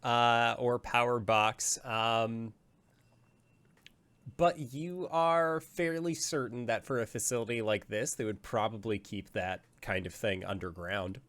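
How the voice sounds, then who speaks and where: Guy running the game, describes stuff slow and careful, mid-pitch voice. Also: a bit bright, dry recording. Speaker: game master. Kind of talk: narrating a tabletop game.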